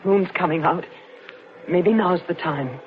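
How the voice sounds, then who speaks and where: An actress playing a frightened, anxious wife who pleads and accuses in an old-time radio thriller. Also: female voice, fairly low pitch, slow. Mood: fearful, accusing. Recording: muffled, faintly noisy old radio recording.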